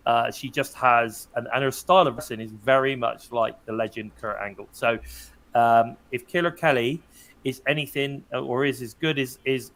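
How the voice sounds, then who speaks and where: British male podcast co-host, mid-pitched, a bit croaky today, relaxed and chatty. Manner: chatty, leads the discussion and hands over to others. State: slightly croaky, hoarse. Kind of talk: casual wrestling podcast chat.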